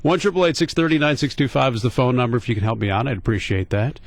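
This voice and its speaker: A man's voice: mid-range voice, quick pace, creaky, no room sound. A middle-aged American man hosting talk radio, telling a casual story in a conversational, questioning way and repeating points for emphasis. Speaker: radio talk show host. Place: radio studio.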